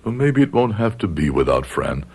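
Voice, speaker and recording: A man's voice: on the low side, big pitch swings, moderate pace, a little mellow, husky, full character voice. An actor playing a bitter, grieving middle-aged father with a grave, dramatic manner in a radio drama. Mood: brooding, resolved. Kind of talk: radio drama acting with first-person narration.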